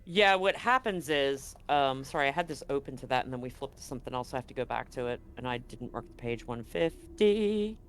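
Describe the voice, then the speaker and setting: A man's voice: medium pitch, animated, a little fast, hardly any pauses, a bit bright, slight background noise. A man acting as game master, casually narrating a tabletop roleplaying game with bantering, rambling asides.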